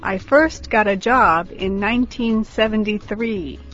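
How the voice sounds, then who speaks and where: A woman slowly repeating model sentences for a language drill. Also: medium pitch, fairly bright tone. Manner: slow, carefully enunciated. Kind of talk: slow drill repetition. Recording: dull lo-fi audio with faint music.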